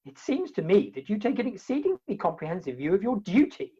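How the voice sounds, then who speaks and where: A woman playing a bumbling, lovesick Victorian gentleman in a comic play, with an English accent and blustering, theatrical delivery, recorded at home over a video call. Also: mid-range voice, very animated, a little fast, husky, lo-fi sound. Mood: suave, put out. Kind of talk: comic stage-play acting.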